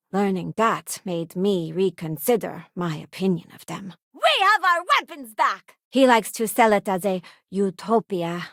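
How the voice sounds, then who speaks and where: A woman's voice: mid-range voice, no room sound, studio quality, close to an everyday voice. A woman playing a principled, self-assured working-class spacefarer who serves as a crew companion in a video game. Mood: urgent, combative. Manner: earnest, plain-spoken, self-assured.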